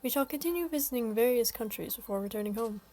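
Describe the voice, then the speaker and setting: Woman reading formal earnest young man, measured, polite, slightly high voice. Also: expressive, dark tone, faint music behind, natural voice. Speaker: voice reader of a game story.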